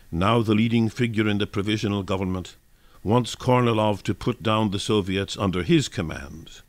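Guy with a lowish voice doing a dramatic history narration, dry sound. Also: dry recording. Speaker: reader, narrator. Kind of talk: dramatic reading of scripted history. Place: indoors, radio broadcast.

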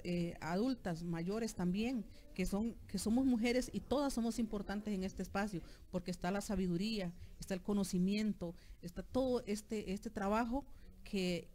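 A woman's voice: mid-range voice, mellow. A middle-aged woman with a Costa Rican accent answering in an interview, hesitant and repeating words as she searches for the next one. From Costa Rica. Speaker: interviewee, alliance leader. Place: outdoor patio at night. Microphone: handheld microphone held by interviewer.

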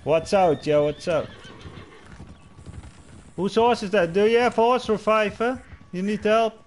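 High-voiced Dutch-accented gaming streamer. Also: male voice, unhurried. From Netherlands. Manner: chatty, addresses viewers and players directly. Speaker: livestream host. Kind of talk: casual gaming livestream chat.

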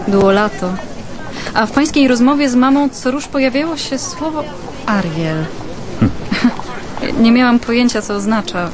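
A woman's voice: a little high, close to an everyday voice. An actress playing a shy, polite young woman with a reserved, hesitant manner in a radio drama. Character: shy, polite young Japanese woman meeting old acquaintance. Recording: clear background ambience noise.

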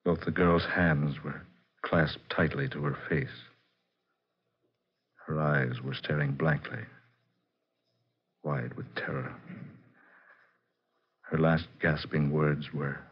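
A grave, somber man with a very deep, husky voice, speaks in slow, formal phrases. It sounds like muffled 1940s radio drama. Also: fairly even intonation, heavily acted. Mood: grave, haunted. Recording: muffled old radio recording.

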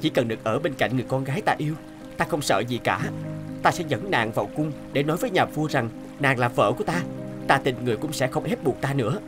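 A man voicing a brave, gentle young hunter in an animated fairy tale, earnest and protective. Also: medium pitch, fast, close to an everyday voice. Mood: sincere, loving. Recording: music behind the voice.

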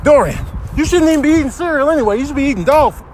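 A man's voice: very high-pitched, animated, rapid-fire, darkish tone, a little hiss or hum, voice pushed up. A man voicing a cantankerous old rooster cereal mascot who scolds and rambles in a comic animal voiceover. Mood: fed-up scolding. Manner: scolding, rambling, punctuated with questioning grunts.

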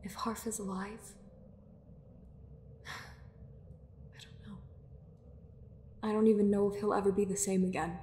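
A woman playing a weary, guarded sorceress in an audio roleplay, earnest and anxious, with a breathy, husky voice and hesitant, sighing phrasing. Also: medium pitch, level tone, slow, long pauses, mellow, a little hiss or hum, close to an everyday voice. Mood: grave, worried. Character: weary guarded female sorceress, anxious, fiercely logical, secretly lonely. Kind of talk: dramatic audio roleplay acting.

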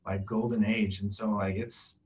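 A young man talking casually on a video call, animated and loose, with lots of fillers. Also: on the low side, unhurried. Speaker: guest from a music data company.

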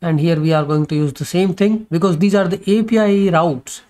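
A man's voice: high voice, fairly quick, warm tone. Man, programming tutor, screen-recorded coding walkthrough, step-by-step, casual fillers. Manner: talks through code step by step, many fillers and restarts.

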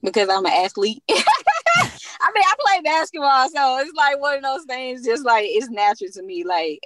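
A woman in her thirties, a business co-founder guest, speaking confidently and motivationally in relaxed phrasing. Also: high-pitched, moderate pace, background noise. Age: 30s. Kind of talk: casual interview chat.

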